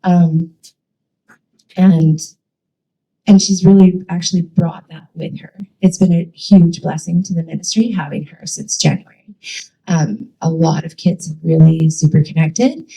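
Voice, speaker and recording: A woman speaks to a church with a low, husky voice that has a gravelly edge. Her tone is dark and mellow. She talks in a chatty, enthusiastic way, full of fillers. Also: measured pace, low-quality recording. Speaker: church youth ministry leader. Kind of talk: casual church talk.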